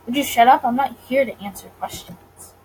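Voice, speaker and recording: A woman voicing a gruff, suspicious male speakeasy bouncer from a visual novel, curt and commanding. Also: a little high, brisk, darkish tone, slight background noise, lightly acted, poor audio.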